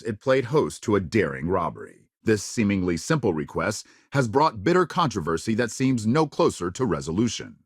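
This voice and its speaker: An actor playing a polished, dramatic news anchor in a video game, with measured, grave and emphatic broadcast phrasing. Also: male voice, on the low side, no room sound, studio quality. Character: polished, dramatic male TV news anchor of a space-age network. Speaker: news reporter. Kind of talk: scripted broadcast news delivery.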